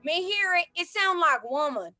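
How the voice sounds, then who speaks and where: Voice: very high voice, expressive, moderate pace. A terse, stoic male scout, played by an actor in a radio western. He talks in clipped broken English, with music behind the voice. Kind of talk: old-time western radio drama acting.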